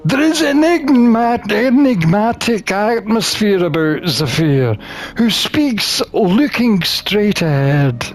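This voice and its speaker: A man reading a visual novel aloud as a gamer, expressive and theatrical, with drawn-out phrasing. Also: high voice. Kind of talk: expressive read-aloud of game text.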